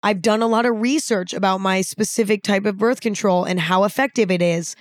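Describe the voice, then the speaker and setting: A young woman in her twenties with a raspy voice, talking frankly and casually on her own podcast, with some swearing. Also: mid-range voice, fairly even intonation, a little fast. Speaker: podcast host. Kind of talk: candid solo podcast talk.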